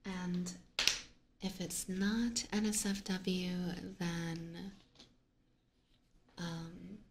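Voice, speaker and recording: A woman softly whispering in a gentle, hesitant ASMR style, chatting casually with viewers up close to the microphone. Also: fairly low pitch, flat delivery, very slow, noisy background. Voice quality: soft, breathy, mostly whispered. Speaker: ASMR streamer and host. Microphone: close binaural ASMR microphone.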